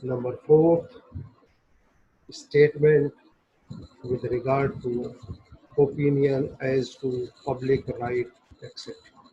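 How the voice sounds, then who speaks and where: Husky, slow-paced man lecturing on law. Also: medium pitch, level tone, mellow. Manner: methodical, enumerating points in short separated phrases; switches between Hindi and English. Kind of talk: explanatory lecture, reading and explaining legal text. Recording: dull, muffled lo-fi recording.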